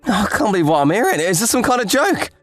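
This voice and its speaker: A man's voice: medium pitch, sing-song, moderate pace, dry recording, soft background music. A man playing a concerned, apologetic brother-in-law in a dramatized story, sympathetic and exclamatory. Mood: disbelieving.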